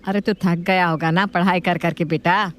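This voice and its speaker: A woman playing a doting, gullible grandmother with a homely, indulgent manner in an animated family story. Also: on the low side, normal speed, lightly acted. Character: kindly, gullible, doting grandmother and mother-in-law.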